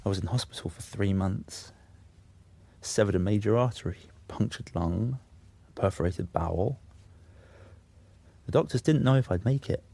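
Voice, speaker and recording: A man's voice: fairly low pitch, normal speed, gravelly, long pauses, warm tone, no echo. An actor playing a troubled, self-justifying young man who confesses and makes excuses in a naturalistic audio drama. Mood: subdued confessing. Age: young adult. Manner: confessional, defensive, sweary.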